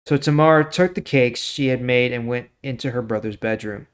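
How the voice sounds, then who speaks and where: A man with a medium-pitched voice hosts a scripture reading series. He reads in a storytelling way and adds informal, explanatory remarks in a casual manner. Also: normal speed, clean tone. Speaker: host of a daily Bible reading series.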